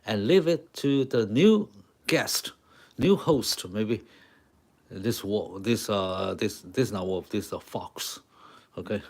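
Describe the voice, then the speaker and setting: Slow-talking man with a Taiwanese accent. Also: fairly low pitch, darkish tone. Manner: halting, repeats short phrases, talks to viewers. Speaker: live-stream drawing artist and host. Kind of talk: casual live-stream chat with drawing demonstration.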